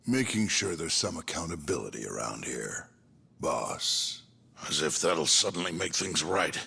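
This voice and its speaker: A man's voice: a bass voice, sing-song, unhurried, vocal fry, sharp tone, husky, heavily acted. A man playing a sneering, middle-aged yakuza captain in a video game cutscene, drawing out his threats. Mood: furious.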